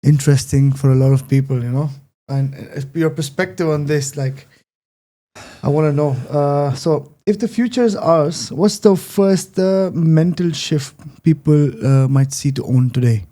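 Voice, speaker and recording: A man from India hosting a casual interview, friendly, with many soft fillers and affirmations. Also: fairly high pitch, moderate pace. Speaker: podcast host. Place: Dubai.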